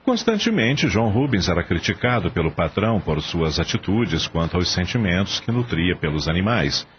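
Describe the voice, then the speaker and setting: A man's voice: deep voice, big pitch swings, normal speed. A man reading scripted story narration for a radio drama. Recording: dull lo-fi audio, faint background noise.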